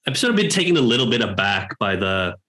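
Guest, male, 30s, lowish voice; halting and thoughtful, video call from home. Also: big pitch swings, bright, forceful tone. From Norway. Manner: hesitant, thoughtful, many pauses and restarts. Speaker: guest, professor. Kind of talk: reflective interview talk. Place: home room with bookshelves. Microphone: headphones on a video call.

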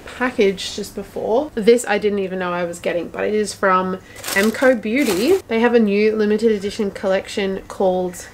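A young woman in her twenties with an Australian accent, chatting casually to a handheld camera at home, relaxed and rambling with lots of fillers. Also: mid-range voice, normal speed, a little hiss or hum. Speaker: vlogger. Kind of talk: casual vlog chat to camera. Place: small home room with plants, indoors. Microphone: camera at arm's length.

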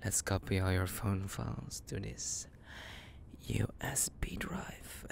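A man's voice: on the low side, sing-song, slow, gravelly, exaggerated voice. A man playing a psychotic, possessive young kidnapper in an intimate audio roleplay, cooing menacingly in half whispers with creepy giggles. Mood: smug, elated.

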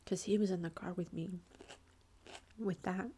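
Woman, thirties, whispered chatty storytelling, flat delivery, faint background hiss. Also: on the low side, unhurried. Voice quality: whispering throughout. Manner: chatty, many fillers like "like". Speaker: vlogger.

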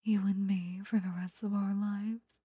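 A woman's voice: medium pitch, flat delivery, measured pace, warm tone, dry recording. A woman playing an obsessive, doting vampire lover in a whispered, intimate ASMR roleplay, cooing close to the microphone. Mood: blissful, doting. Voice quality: breathy, whispery. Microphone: close microphone, dry.

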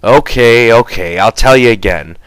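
A man voicing a small, sharp, irritable itinerant ranch hand who scolds in folksy rural slang. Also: mid-range voice, moderate pace, no echo, close to an everyday voice. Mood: exasperated.

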